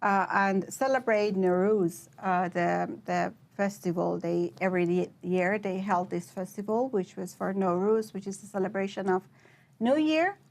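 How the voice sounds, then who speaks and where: A young woman from Iran, answering interview questions as a guest, with many pauses and fillers. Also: mid-range voice, gravelly. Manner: hesitant, many fillers and restarts. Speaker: PhD researcher, guest.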